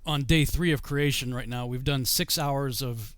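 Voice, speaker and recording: A man's voice: mid-range voice, normal speed, a bit bright, clear, resonant tone, no room sound. A middle-aged man on a video call from his home study, explaining things in a loose, rambling way with many fillers and restarts. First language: English. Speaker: guest explaining scripture.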